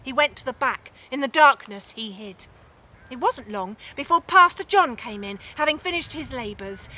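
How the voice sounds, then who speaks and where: A woman telling a humorous medieval tale theatrically, with dramatic pauses and emphasis. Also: high-pitched, lively intonation, bright, forceful tone. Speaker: storyteller.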